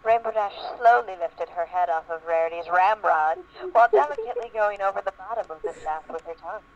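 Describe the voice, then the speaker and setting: A man's voice: high voice, animated, fairly quick. A man reading fan fiction aloud in an amateur group dramatic reading. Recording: muffled noisy lo-fi voice-chat recording.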